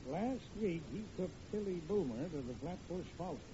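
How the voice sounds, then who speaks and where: A man's voice: high-pitched, animated, moderate pace. A man playing a grumpy middle-aged American father, skeptical and needling, in old radio comedy acting. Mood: suspicious. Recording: muffled, noisy vintage radio recording.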